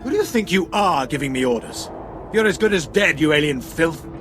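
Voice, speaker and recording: A man acts a contemptuous Imperial commander in a video game. His voice sits fairly high and moves widely in pitch, clipped with irritation and imperious command. Music plays underneath. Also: lively intonation, brisk, close to an everyday voice. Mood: angry contempt. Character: haughty, irritable Imperial military commander villain. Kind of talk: video game cutscene voice acting.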